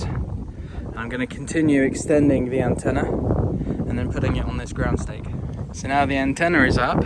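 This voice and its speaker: A man casually presenting portable radio gear in an informal, practical, slightly hesitant way, recorded outdoors. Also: mid-range voice. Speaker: amateur radio operator, video presenter. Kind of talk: casual hands-on gear demonstration and chat. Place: outdoors in open countryside. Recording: windy, noisy outdoor microphone.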